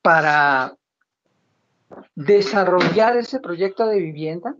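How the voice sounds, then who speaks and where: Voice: mid-range voice, lively intonation, slow pace, fairly bright tone, lo-fi sound. A government official explaining programs in a didactic way, posing questions and then answering them. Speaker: government housing ministry official. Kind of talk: explanatory talk on housing programs.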